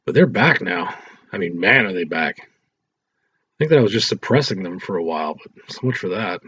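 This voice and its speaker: A man's voice: sits low, expressive, a little fast, pushed and bright, slightly rough, full character voice. A man voicing a troubled, edgy teenage boy, sheepish and weary, in a read-aloud story. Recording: roomy echo, dull lo-fi sound.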